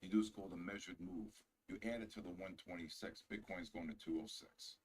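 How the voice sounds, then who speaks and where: A man's voice: low-pitched, fairly quick, slight background noise. A man in his sixties, a veteran guest trader chatting on a live stream, boastful and teasing. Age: 60s. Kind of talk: casual chat on a live stream.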